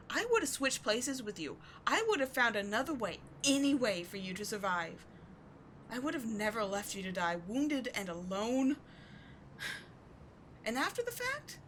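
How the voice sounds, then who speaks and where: A woman's voice: mid-range voice, a bit bright, slight background noise. A woman playing a bitter, passionate villain with secret devotion, speaking in a theatrical, intense, emphatic way in a dramatic audio roleplay. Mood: indignant, fierce. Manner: theatrical, intense, emphatic, with sighs and dropped whispers. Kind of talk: dramatic audio roleplay monologue addressed to the listener.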